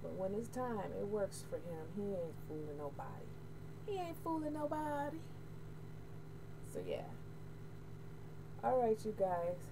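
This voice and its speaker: A middle-aged American woman chatting casually to camera, candid and expressive, repeating phrases for emphasis. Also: mid-range voice, measured pace, pauses often. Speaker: hair vlogger. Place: small room at home, indoors. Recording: noisy home webcam audio.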